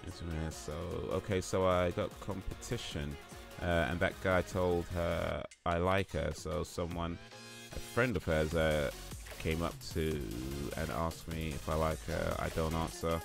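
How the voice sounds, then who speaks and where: Low-voiced man rambling casually on stream. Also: flat delivery, measured pace, vocal fry, over music. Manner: rambling, hesitant, many fillers and restarts. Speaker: livestream host. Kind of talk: casual stream chat, reading chat and quiz aloud.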